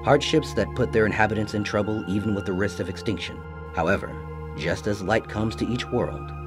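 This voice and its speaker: Low male announcer acted, grand, solemn, dramatic promo narration, music behind. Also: natural voice. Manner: pompous, solemn. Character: grand, earnest commercial announcer.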